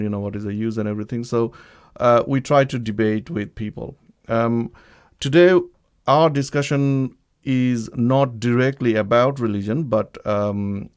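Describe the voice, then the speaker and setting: Guy from bangladesh doing a livestream intro, lowish voice, lots of ums and restarts. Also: a little mellow, smooth. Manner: hesitant, many ums and restarts. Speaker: livestream host. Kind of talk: live-streamed talk introduction. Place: home setup, living in the UK.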